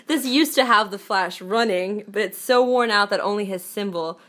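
A young woman chatting excitably and laughing often in a casual vlog, recorded on a handheld phone camera. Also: mid-range voice, normal speed. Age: young adult. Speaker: vlogger. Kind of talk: casual couple vlog chat.

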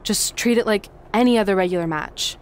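A woman's voice: medium pitch, brisk, a little hiss or hum, close to an everyday voice. An actress playing a determined woman wrestling champion in a video game, earnest and assertive. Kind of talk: scripted video game cutscene acting.

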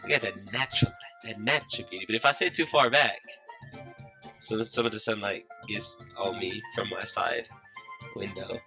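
A man's voice: on the low side, normal speed, creaky, sharp tone. A young man with a slightly stuffed-up, nasal voice gives loose, profane, joking commentary to his viewers while playing a game. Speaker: gaming YouTuber. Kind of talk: casual Let's Play commentary. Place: small room lit by a side window. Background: game music underneath.

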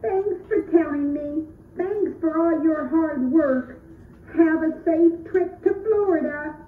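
A woman voicing an excitable, earnest young elf helper in a children's puppet show. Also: high-pitched. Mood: friendly. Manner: earnest, exclamatory, drawn-out delivery. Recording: roomy, distant, muffled phone-like audio.

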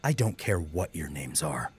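A man's voice: big pitch swings, moderate pace, breathy edge, faint music behind, full character voice. A man voicing an aloof young male mercenary in an audiobook, curt and detached. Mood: dismissive. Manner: curt, detached, low-key. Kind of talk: audiobook character acting.

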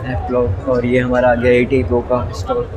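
A man's voice: mid-range voice, monotone, a little fast, background noise. A man giving a casual, matter-of-fact walkthrough of a crowded indoor trade expo, recorded on a handheld phone. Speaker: vlogger touring a trade expo. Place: crowded indoor exhibition hall. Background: loud dance music.

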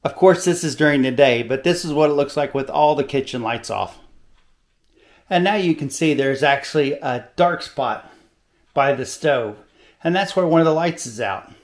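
An older man hosting a home repair video in his kitchen, explaining in a relaxed, folksy, plain-spoken way on a lapel mic. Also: on the high side, normal speed. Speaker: DIY video host. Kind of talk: casual how-to demonstration.

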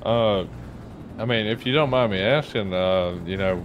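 Man, lowish voice, polite hesitant cop roleplayer, faint noise on game chat. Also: moderate pace, highs cut off. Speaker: roleplayer playing a law officer. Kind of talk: improvised game roleplay, questioning.

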